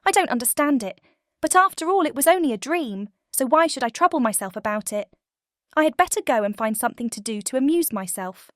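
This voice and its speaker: A woman playing a gentle, sincere young heroine in a dramatized fairy-tale audiobook. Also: sits high, lively intonation, a little fast, dry recording, clean studio sound, voice pushed up. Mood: pondering.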